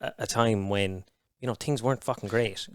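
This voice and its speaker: Frank, swearing man, smooth voice. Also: lowish voice. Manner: frank and heavy-swearing, halting restarts. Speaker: podcast guest. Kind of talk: candid conversation.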